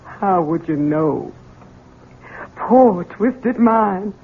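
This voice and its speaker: Anxious american farm ma, raspy and very up and down, folksy, tinny old radio recording. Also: female voice, medium pitch, animated, drawn-out words, exaggerated voice. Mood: alarmed, grieving. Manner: folksy, imploring. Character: anxious, protective rural mother of a grown son. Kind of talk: 1970s American radio drama acting. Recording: tinny band-limited old radio broadcast.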